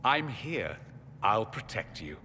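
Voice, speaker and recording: A man's voice: lowish voice, very animated, slow pace, sharp tone, faint music behind, heavily acted. An actor playing a self-assured, witty male mage scholar in a video game, with a wry, urbane, theatrical manner. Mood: wry explaining. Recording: long hall reverb, clear background noise.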